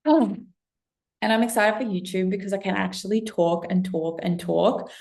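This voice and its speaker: A woman's voice: medium pitch, normal speed, gravelly, a little mellow. A woman from Russia in her thirties, talking straight to camera as a spiritual business coach, effusive and breathless, swearing freely and saying "like" often. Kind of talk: excited casual monologue to camera.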